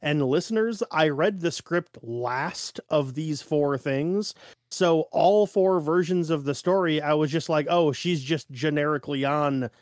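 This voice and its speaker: A man's voice: a little high, a little slow, dry recording, studio quality. A man co-hosting a podcast, talking casually and analytically, stressing his points. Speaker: podcast host. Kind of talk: casual analytical discussion chat.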